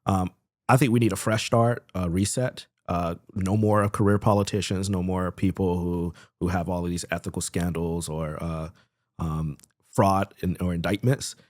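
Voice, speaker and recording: A man's voice: low voice, fairly even intonation, moderate pace, dry recording, studio quality. A young man from Florida running for Congress, answering interview questions earnestly with frequent ums and uhs. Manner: earnest, fills with "um" and "uh". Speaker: congressional candidate.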